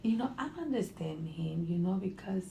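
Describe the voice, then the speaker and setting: Gravelly, halting Honduran woman in an echoey room. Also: lowish voice, animated, dark tone. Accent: Honduran Spanish. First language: Spanish. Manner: halting, restarts phrases, searches for English words. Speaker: interviewee. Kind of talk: casual conversation. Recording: echoey room with faint noise.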